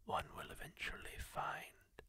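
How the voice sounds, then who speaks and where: A man whispering as a kindly masked healer. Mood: hushed solemn. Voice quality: soft unvoiced whisper, gravelly and husky. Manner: slow, hushed, solemn storytelling to the listener. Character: kindly masked male healer of a dark fantasy world. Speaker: ASMR roleplay performer. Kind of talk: ASMR roleplay whispered storytelling.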